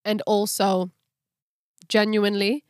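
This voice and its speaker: A woman's voice: medium pitch, flat delivery, slow pace, darkish tone, no echo, clean studio sound. A woman co-hosting a Bible study podcast, talking about faith in a casual, conversational way. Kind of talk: casual conversational religious discussion.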